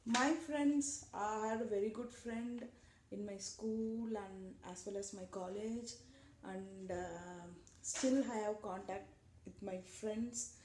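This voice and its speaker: Slow, mellow-voiced Indian mom. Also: female voice, mid-range voice, steady tone, echoey room, a little hiss or hum. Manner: simple, gentle, halting non-native English. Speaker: mom being interviewed by her child. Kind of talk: casual family interview answers.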